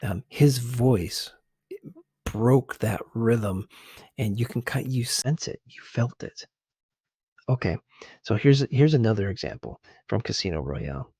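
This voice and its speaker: Male ASMR commentator: husky, hushed, hesitant conversational delivery, dry close-mic sound. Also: mid-range voice, expressive, dry recording. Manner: hesitant, halting, searching for words. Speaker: video host.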